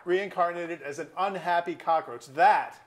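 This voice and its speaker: A man's voice: sits high, moderate pace, close to an everyday voice. A bitter middle-aged man played by an actor in a stage play reading. He talks in wry, wordy, sarcastic turns, like a small-town lawyer nursing a grudge, in naturalistic dialogue. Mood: bitterly gleeful. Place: auditorium.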